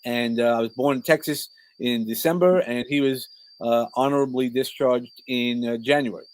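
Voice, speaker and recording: A man's voice: medium pitch, steady tone, normal speed, darkish tone, rough recording. A middle-aged man with a New York accent, talking informally as an interview guest and often breaking off to rephrase his personal stories. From New York, Brooklyn. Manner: informal, rambling, self-interrupting. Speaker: podcast guest, coach and speaker. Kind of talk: conversational interview, personal storytelling.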